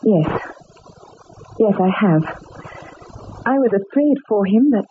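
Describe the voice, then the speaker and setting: An actress voicing a grieving young woman, a murdered man's fiancée, in a vintage radio drama, speaking softly and tearfully. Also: mid-range voice, animated, slow pace. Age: young adult. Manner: tearful, fragile, softly spoken. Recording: lo-fi old radio broadcast with hiss.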